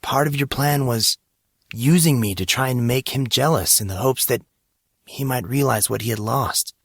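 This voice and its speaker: A man voicing a perceptive, earnest teenage schoolboy in audiobook dialogue, speaking deliberately. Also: mid-range voice, dry recording, studio quality, pitched above the performer's own voice, lightly acted. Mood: hurt realization. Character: teenage schoolboy, perceptive, playful, hurt but kind.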